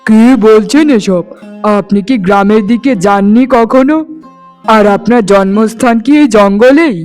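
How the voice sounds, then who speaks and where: A man's voice: sits high, unhurried, soft background music. A man playing an earnest, stubborn young shepherd in love, speaking in a flowery, pleading way in a fairytale cartoon. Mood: polite, curious. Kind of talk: Bengali fairytale cartoon dialogue.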